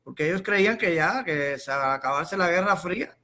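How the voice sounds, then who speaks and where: A man with a Cuban accent giving an informal political monologue, haltingly repeating words and phrases and putting rhetorical questions to the listener. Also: fairly high pitch, lively intonation, pushed and bright. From Cuba. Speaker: political commentator on a live stream.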